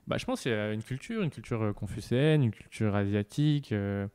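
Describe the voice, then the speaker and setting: A man's voice: lowish voice, fairly quick, darkish tone, smooth. A man from France in his thirties, a podcast guest chatting casually and hesitantly, with many fillers and restarts. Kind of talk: casual interview chat.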